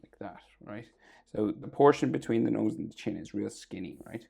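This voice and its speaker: A man with a sore throat and a crackly, gravelly voice, talking casually through a drawing tutorial, often trailing off to a near whisper. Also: fairly low pitch, lively intonation, moderate pace, warm tone.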